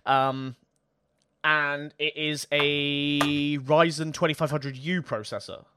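A man's voice: on the high side, fairly even intonation, slow pace, noisy background. A young British man chatting casually about tech as a podcast co-host, jokey and self-deprecating, his voice a little strained. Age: young adult. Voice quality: slightly worn, tired voice.

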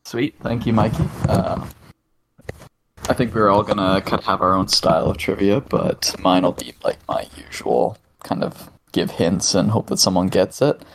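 A man with a gravelly, low voice reads trivia hints to his friends. He is easygoing and laughs between clues. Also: moderate pace, slight background noise, low-quality recording. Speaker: podcast co-host, quiz giver. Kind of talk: casual chat.

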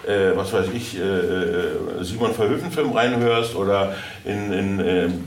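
A man giving informal answers as an audio drama producer, haltingly and searching for his words. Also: low-pitched. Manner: halting, searching for words, many false starts. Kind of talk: informal question-and-answer talk. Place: indoor convention hall, live event. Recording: echoey, noisy room, far from mic.

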